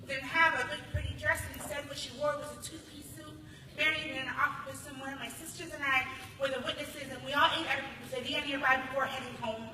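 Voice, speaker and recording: An American woman performing spoken word poetry live with expressive, emphatic delivery into a stage microphone. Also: sits high. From Los Angeles, United States. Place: large hall at a conference ceremony. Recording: echoey hall, distant, faint noise.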